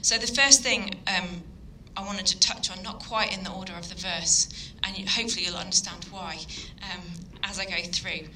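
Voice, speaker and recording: A woman with a Yorkshire accent preaches an evening sermon in an earnest, halting way, with plenty of ums. Her voice is very bright and sharp. A faint hiss of noise sits behind her. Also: medium pitch, fairly even intonation, low-quality recording. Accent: Yorkshire English. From Yorkshire, England. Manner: earnest, hesitant with frequent ums. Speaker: guest speaker.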